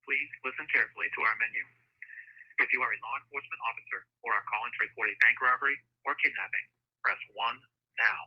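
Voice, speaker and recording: Muffled, husky American phone menu man. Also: medium pitch, quick pace. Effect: telephone. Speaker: automated phone line announcer. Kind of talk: scripted recorded phone menu. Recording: muffled phone line on speaker.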